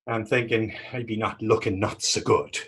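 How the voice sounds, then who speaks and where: A man playing a battered, pious and self-mocking fisherman in readers theater, telling his story in halting, folksy dialect. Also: fairly low pitch, fairly even intonation, fairly bright tone, slightly rough. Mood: wry. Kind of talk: readers theater dramatic dialogue.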